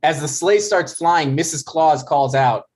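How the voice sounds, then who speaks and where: A man's voice: on the high side, a little slow, a little mellow. A man narrating stage directions from a script at a comedy table read.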